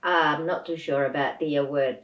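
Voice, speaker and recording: A woman guest speaking English as a second language, answering thoughtfully with hesitant pauses and fillers. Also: low-pitched, fairly even intonation. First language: not English. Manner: thoughtful, hesitant, laughs softly. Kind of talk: reflective interview answers. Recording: echoey room, distant dull mic.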